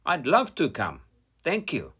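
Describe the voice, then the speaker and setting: A lively, imaginative little girl pig from a storybook, as a man puts her on. Her pitch rises and dips widely, and the sound is bright, husky and unhurried. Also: exaggerated voice. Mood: eager. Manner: expressive, lifted storybook voice.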